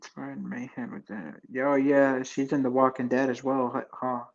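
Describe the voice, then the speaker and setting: A man's voice: medium pitch, flat delivery, moderate pace, creaky, lo-fi sound. A man in his twenties chatting casually with his live viewers, rambling loosely with lots of fillers and restarts. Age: 20s. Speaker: livestream host chatting with viewers.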